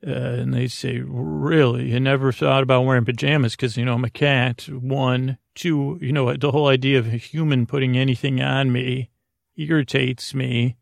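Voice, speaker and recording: Male podcast host, mid pitch, heavy vocal fry, dry close recording; meandering, halting comedic bedtime delivery. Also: fairly even intonation, no echo. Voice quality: creaky, gravelly. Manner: meandering, halting, absurd tangents told conversationally. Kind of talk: rambling comedic bedtime monologue.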